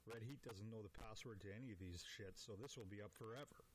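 A man's voice: lowish voice, fast talker, a little hiss or hum, low-quality recording. A man in his forties hosting a podcast, rambling through a casual, profane, self-deprecating monologue. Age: 40s. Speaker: podcast host.

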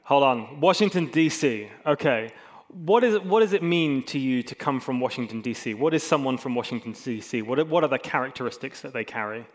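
A man's voice: mid-range voice, lively intonation, fairly quick. A man speaks as a pastor to his congregation. His sermon is informal and conversational, with a mid-range voice and dry asides at his own expense. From England and Hong Kong. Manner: informal, self-deprecating humour.

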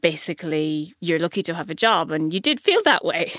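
A woman, hosting a podcast in a relaxed, chatty way. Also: low-pitched, fairly quick, no echo, studio quality. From Ireland. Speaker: podcast host. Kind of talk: podcast conversation.

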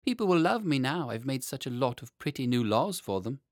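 A man's voice: mid-range voice, very animated, fairly quick, no echo, clean studio sound, voice pushed up, lightly acted. A man voicing a naughty but apologetic little boy king in a children's storybook. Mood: earnest.

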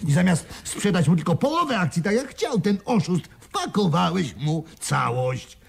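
An actor in a Polish radio play playing an irascible, sarcastic old business partner who scolds bitterly. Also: male voice, high voice, big pitch swings, normal speed, vocal fry, slightly rough, full character voice. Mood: bitter, mocking.

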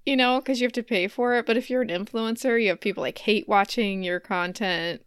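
A woman's voice: on the high side, a little fast, smooth, no room sound, clean studio sound. A woman co-hosting a podcast in casual, jokey chat, with a giggly manner. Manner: giggly, laughs readily through her talk. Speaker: podcast co-host.

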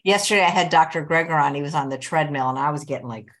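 A woman's voice: low voice, quick pace. A woman hosting an online cooking show, talking in a playful, chatty way. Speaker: host.

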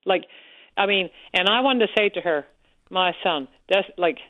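A woman with a Newfoundland accent calling in to a radio show, loud-voiced and frank, talking on and on. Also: on the low side, moderate pace, no room sound. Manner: frank, talkative storyteller. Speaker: caller, support group organizer. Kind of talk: radio call-in chat. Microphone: telephone. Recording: tinny phone line.

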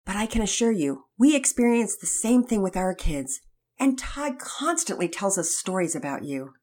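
A woman voicing a gentle, kindly mother who chats and reassures a friend. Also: mid-range voice, animated, moderate pace, lightly acted. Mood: reassuring. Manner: sweet, reassuring. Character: gentle, kindly church mother, Mama Peters.

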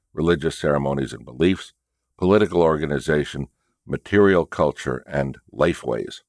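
A man's voice: low-pitched, no room sound, studio quality. A man reading a nonfiction book aloud as a narrator, in a scripted style. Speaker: audiobook and podcast narrator.

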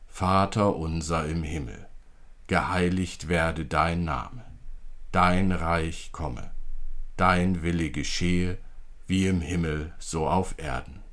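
A man speaks in a fairly low register at a very unhurried pace. He leads prayer like a clergyman in a church service podcast, with solemn, measured phrasing. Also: a bit bright.

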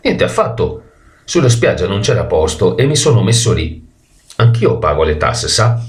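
A man voicing a witty, eccentric gentleman beachgoer in a storybook tale, polite but unbudging, with emphatic delivery. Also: on the low side, big pitch swings, normal speed, echoey room. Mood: indignant.

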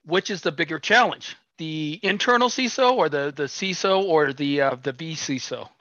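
A man's voice: fairly high pitch, normal speed, bright, forceful tone, no echo. A man hosting a podcast, asking questions in a friendly, lightly teasing way. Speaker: podcast host. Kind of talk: casual interview questions. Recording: dry, dull lo-fi sound.

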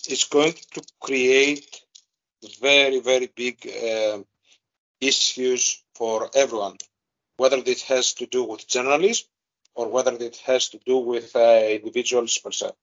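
A man with a Greek accent talks at a middling pitch, thinking aloud with frequent pauses and fillers. The audio is slightly dull and lo-fi. He explains things patiently as an interview guest. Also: fairly even intonation, a bit bright. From Greece. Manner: hesitant, many fillers and restarts. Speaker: guest expert. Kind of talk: podcast interview.

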